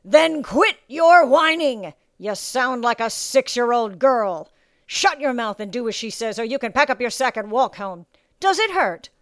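A woman's voice: on the high side, takes it slowly, hardly any pauses, a little mellow, smooth, no room sound, clean studio sound, pitched above the performer's own voice. A woman voicing a scornful, commanding male drill instructor in an audiobook, barking and taunting. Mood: bellowing contempt. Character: bellowing small thirty-year-old male drill instructor, scornful and commanding. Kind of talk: audiobook character acting.

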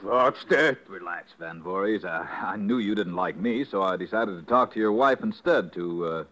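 A man's voice: deep voice, lively intonation, moderate pace, a bit bright. A stammering, defensive man. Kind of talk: 1950s radio drama acting.

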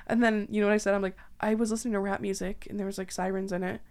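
A woman's voice: medium pitch, flat delivery, racing, a little mellow. A young woman from southwestern Ontario with a Canadian accent, hosting a casual podcast chat and telling family anecdotes, acting out what people said. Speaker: podcast host.

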